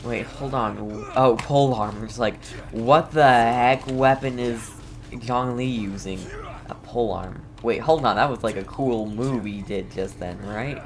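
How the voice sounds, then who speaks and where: A man giving casual, excitable and reactive commentary as he plays a video game. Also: mid-range voice, unhurried. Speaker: game streamer.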